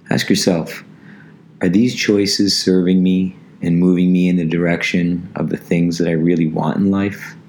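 A man hosting a motivational podcast, his voice raspy and hoarse as it recovers from laryngitis, speaking slowly and steadily. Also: sits low, darkish tone. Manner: slow, measured motivational delivery. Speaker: martial arts instructor and podcast host.

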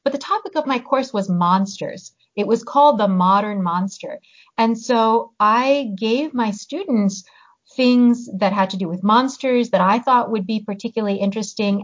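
A woman, born in Hungary, chatting as an interview guest and pausing to search for her words. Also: mid-range voice. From born in Hungary, raised in the US. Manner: reflective, pauses to search for words. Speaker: guest, novelist and teacher. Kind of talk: casual interview chat. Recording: slightly muffled audio.

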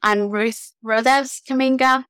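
A woman from Guatemala, a researcher and podcast guest, introducing herself in an unhurried way with pauses. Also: high-pitched, steady tone, a bit bright, smooth, no echo, studio quality. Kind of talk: casual self-introduction.

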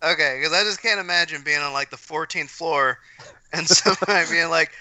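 A man chatting casually on a podcast and joking in a deadpan way. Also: on the high side, a little fast, dry recording, rough recording. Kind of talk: casual chat.

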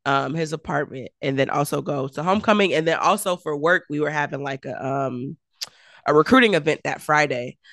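A woman in her thirties co-hosting a podcast in casual chat, her voice low and raspy from being sick. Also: fairly quick, a bit bright, no room sound, studio quality. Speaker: podcast co-host.

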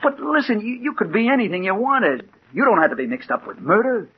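An actor playing an impatient, haunted American crime reporter, tough and slangy, in 1940s radio drama style. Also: male voice, high voice, very animated, fairly quick, slightly rough, exaggerated voice. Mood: pleading. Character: hard-boiled male crime reporter, impatient, obsessive, haunted. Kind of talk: 1940s radio drama acting, first-person narration. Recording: dull, hissy 1940s radio recording.

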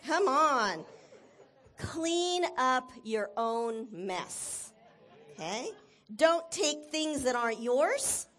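A woman with a bright, forceful voice gives a casual sermon to her congregation. She is encouraging and enthusiastic, laughs often and sometimes gets loud and emphatic. Her voice sits a little high. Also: big pitch swings, very slow, a faint crowd. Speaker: church leader giving a talk.

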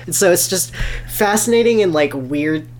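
A man's voice: high voice, animated, normal speed, faint music behind. A man co-hosting a podcast in casual comedic chat, with a wry, incredulous way of talking. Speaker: podcast co-host.

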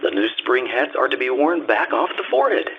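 A man's voice: big pitch swings, a little fast. A man reading a scripted commercial as an old-time radio announcer, with an emphatic selling delivery. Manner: emphatic sales pitch. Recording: very tinny band-limited audio with noise.

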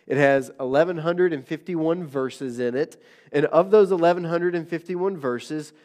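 A man preaching to his congregation in a nasal voice, still getting over an illness. His voice sits a little high for a man, and he talks in a loose, conversational way, with plenty of "uh"s and restarts. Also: warm tone. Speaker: pastor. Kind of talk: sermon.

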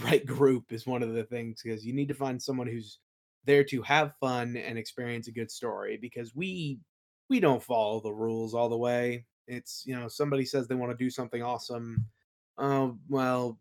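A man chatting casually as an interview guest, telling colloquial, rambling stories as a game master. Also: mid-range voice. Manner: rambling, colloquial, acts out quoted remarks. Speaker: guest, game master and audio play creator. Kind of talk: casual chat, anecdotes.

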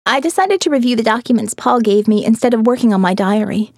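A woman reading a first-person diary as an audio novel narrator, in an expressive, reflective and wry manner, close on the mic. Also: fairly high pitch, fast, no room sound. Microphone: close studio mic, dry.

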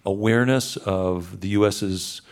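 A man's voice: fairly low pitch, measured pace. A man hosting a radio show, interviewing a guest in an informal, encouraging, conversational way with loosely phrased questions.

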